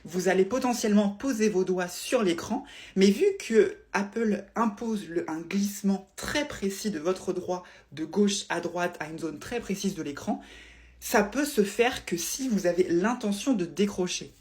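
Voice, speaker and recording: A woman with a mid-pitched voice presents a casual explainer straight to her viewers. She is didactic and emphatic, circling back to rephrase and repeat each point as she goes. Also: unhurried, a little mellow. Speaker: presenter explaining phone interface design.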